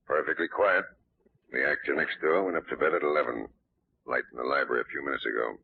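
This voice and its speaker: An actor playing a gruff, slightly dense police detective, gravelly and blunt, in 1940s radio mystery style. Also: male voice, extremely low pitch, quick pace, a little hiss or hum. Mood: gruff, matter-of-fact. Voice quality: gravelly, husky. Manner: blunt, colloquial. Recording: dull vintage 1940s radio recording.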